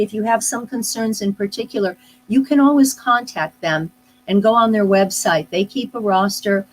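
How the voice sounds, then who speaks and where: Middle-aged woman guest, thinking aloud, mid-pitch, faint hiss behind. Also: rough recording. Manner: thoughtful, with frequent "uh" pauses and restarts. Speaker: speech-language pathologist guest. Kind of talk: live online interview, explaining casually.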